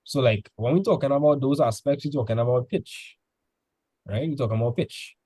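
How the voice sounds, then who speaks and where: A man's voice: medium pitch, fairly quick, dark tone, breathy edge, no room sound. A male teacher tutoring students in a casual session, full of "like" fillers, quizzing them with questions as he goes.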